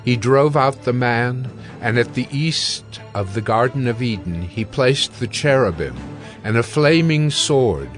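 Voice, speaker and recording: A man narrating scripture in a slow, steady, deliberate reading. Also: medium pitch. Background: music.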